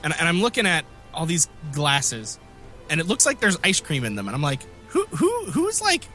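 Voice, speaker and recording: A man co-hosting a casual podcast, telling rambling stories with a slightly phlegmy, raspy voice. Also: a little high, wildly swooping pitch, moderate pace, sharp tone, faint music behind. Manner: rambling, self-interrupting storyteller. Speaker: podcast co-host. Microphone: remote call mic.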